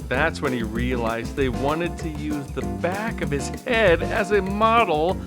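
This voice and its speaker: Storybook narrator over clear background music. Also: medium pitch, unhurried. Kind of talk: scripted children's storybook narration. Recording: music bed under the voice.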